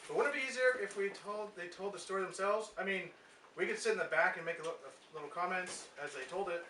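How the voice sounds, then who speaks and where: A man's voice: high-pitched, fast. A man performing a scripted amateur family skit, recorded live at a gathering with noise behind him. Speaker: puppet show performer at a family reunion. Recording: distant, echoey, noisy home video of live show.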